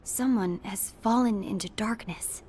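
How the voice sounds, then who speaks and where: An actress playing a calm, reasoned woman who speaks in a composed, polite way. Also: medium pitch, a little slow, a little hiss or hum, lightly acted. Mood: concerned. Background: game score music.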